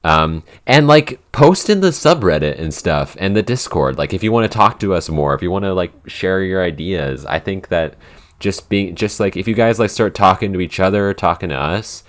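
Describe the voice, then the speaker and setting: A man with an extremely deep voice talks casually as a podcast co-host. He works things out as he speaks, rambling and qualifying himself, with an enthusiastic, unscripted delivery. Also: lively intonation, normal speed. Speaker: podcast co-host and show creator.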